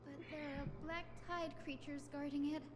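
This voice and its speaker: High, gentle young female healer, played by a woman. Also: fairly even intonation, normal speed, lightly acted. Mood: earnest. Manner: polite, earnest, soft-worded. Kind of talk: video game voice acting. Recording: noisy game ambience behind.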